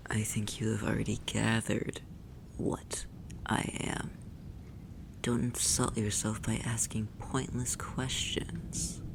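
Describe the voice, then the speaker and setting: An ASMR roleplay performer voicing a haughty, possessive demon who condescends to and teases a human captive, often in a breathy whisper. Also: mid-range voice, flat delivery, slow pace. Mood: commanding, disdainful. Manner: condescending, teasing, imperious, theatrically formal.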